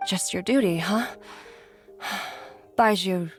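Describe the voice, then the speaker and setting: An actress playing a gentle, wistful mother in a game, tender and unhurried, with music behind. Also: medium pitch. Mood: grateful. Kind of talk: scripted game voice acting.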